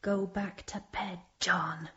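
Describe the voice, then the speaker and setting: An actress playing a secretive, controlling sister in a radio drama, speaking in a hushed, deliberate way. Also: female voice, fairly low pitch, fairly even intonation, muffled recording. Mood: secretive, threatening. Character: secretive, commanding sister guarding a dark secret.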